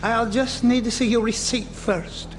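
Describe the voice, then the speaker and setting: A man's voice: very high voice, steady tone, measured pace, a little hiss or hum. A man playing an officious, pedantic baggage clerk in a video game, formal, over-polite and fussily bureaucratic. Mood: pedantically polite. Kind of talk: comic video game dialogue acting. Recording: long reverberant hall, music behind.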